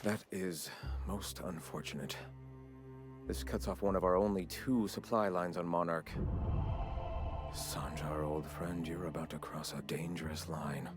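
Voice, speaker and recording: An actor playing a solemn, preaching male faction leader with a measured, sermon-like delivery. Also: low-pitched, fairly even intonation, music in the background. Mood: grave.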